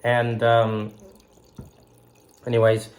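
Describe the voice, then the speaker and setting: A man in his thirties from Southern California, hosting a faith broadcast while recovering from illness. He talks slowly and haltingly, with fillers and a slight cough. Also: medium pitch, completely flat delivery. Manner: slow, halting, with frequent fillers and throat clearing. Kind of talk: casual spoken tribute.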